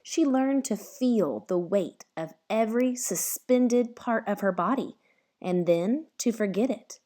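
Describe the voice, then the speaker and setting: Slow, expressive woman reading a storybook. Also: fairly high pitch. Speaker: storybook reader. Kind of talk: read-aloud narration of a children's picture book.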